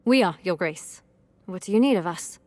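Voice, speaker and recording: A woman's voice: on the low side, expressive, moderate pace, a little mellow, no room sound, lightly acted. A woman playing a composed, confident young knight in an audio drama, with restrained formal delivery. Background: music.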